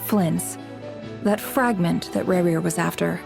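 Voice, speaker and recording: A woman playing a shrewd, aloof intelligence boss in a video game, speaking in a cool, sardonic, deliberate way. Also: lowish voice, creaky, over music. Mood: reluctant. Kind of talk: English video game dub.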